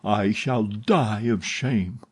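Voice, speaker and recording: A man's voice: lowish voice, very slow, breathy edge, full character voice. A man voicing a jealous, bitter young man in an audiobook reading, delivering impassioned and sarcastic outbursts.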